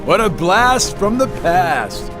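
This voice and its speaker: A sly older villain from a video game, sardonic and needling. His fairly high male voice swoops in a slow, cocky drawl, with music playing behind it. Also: big pitch swings, full character voice. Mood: mocking. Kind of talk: video game cutscene acting.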